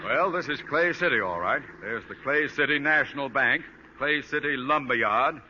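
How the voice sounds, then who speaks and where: A man's voice: mid-range voice, normal speed, background noise, close to an everyday voice. An actor playing a pompous, irascible middle-aged male school principal, sputtering and bossy, in old radio comedy style. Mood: bossy, impatient. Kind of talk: 1940s-50s radio comedy acting. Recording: muffled old radio recording with long reverb.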